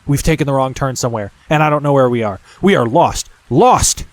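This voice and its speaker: A man voicing a fretful, sharp-tongued woman nurse who speaks curtly. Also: fairly low pitch, a little fast, creaky, no room sound. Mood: terrified. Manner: curt and fretful.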